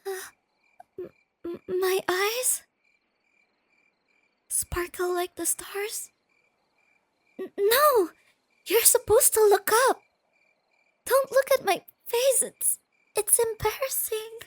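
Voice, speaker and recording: A woman playing a shy, nerdy, easily flustered young girlfriend in a soft-spoken ASMR roleplay, with a girlish, hesitant, stammering delivery. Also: very high-pitched, slow pace, pauses often, no echo. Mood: flustered, embarrassed. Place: close dry recording with night insects behind.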